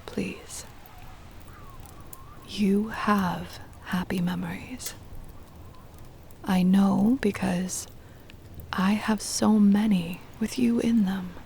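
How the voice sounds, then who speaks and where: A woman voicing a centuries-old possessive, doting vampire mistress in an intimate ASMR roleplay, whispery and breathy, with tender, formal old-fashioned phrasing. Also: medium pitch, mellow, slight background noise. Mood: soothing, coaxing. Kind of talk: intimate ASMR roleplay, scripted dramatic monologue.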